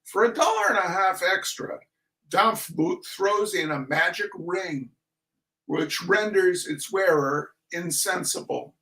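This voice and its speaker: A man giving a theatrical reading of a humorous text in a mock-solemn, deliberate manner with dramatic pauses. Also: fairly high pitch, fairly bright tone, poor audio. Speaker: reader of a comic essay.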